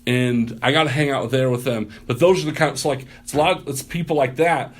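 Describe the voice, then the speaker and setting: A man's voice: medium pitch, a little fast. A man who works as a pastor, telling stories, acting out what people said. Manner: animated storyteller, loud voice, acts out quotes. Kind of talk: casual podcast storytelling.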